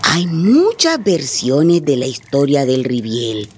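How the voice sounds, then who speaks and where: A woman playing an old grandmother, tender but firm, telling a tale dramatically in a radio drama with a husky voice. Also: extremely low pitch, wildly swooping pitch, a crowd in the background, exaggerated voice. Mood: suspenseful storytelling. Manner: unhurried, dramatic oral storytelling.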